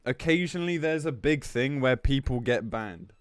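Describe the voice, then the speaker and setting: A man's voice: medium pitch, lively intonation, normal speed, smooth, no room sound. A man live-streaming in a casual, blunt, exasperated way, commenting between bits of reading aloud and swearing freely.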